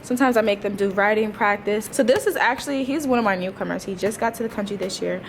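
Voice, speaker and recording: Woman, twenties, medium pitch, informal vlog talk, slangy wry asides, noticeably noisy recording. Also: fast talker. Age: 20s. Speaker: teacher and vlogger. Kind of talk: casual vlog chat.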